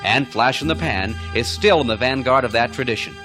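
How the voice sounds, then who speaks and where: A man's voice: mid-range voice, lively intonation, a little fast. A man reading scripted narration for a radio documentary about music, as its presenter.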